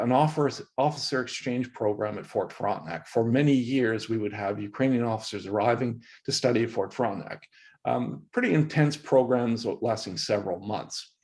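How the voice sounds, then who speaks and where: A man's voice: medium pitch, darkish tone. A middle-aged man from Canada, a professor speaking as a podcast guest, explaining history with earnest emphasis and frequent restarts. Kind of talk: interview answers.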